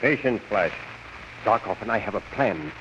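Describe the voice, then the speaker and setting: An actor playing a steady, conspiring baron with calm, terse delivery in a 1930s radio serial, on a hissy old recording. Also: male voice, on the low side, moderate pace, lo-fi sound. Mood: conspiratorial. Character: steady, conspiring nobleman baron, loyal ally.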